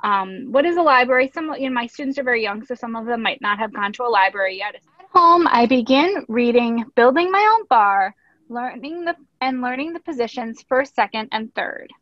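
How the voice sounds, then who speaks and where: Higher-voiced woman reading aloud in echoey room. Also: lively intonation, brisk, a bit bright. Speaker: ballet teacher reading a picture book aloud. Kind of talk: storybook read-aloud with teaching asides. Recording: echoey room, dull lo-fi audio.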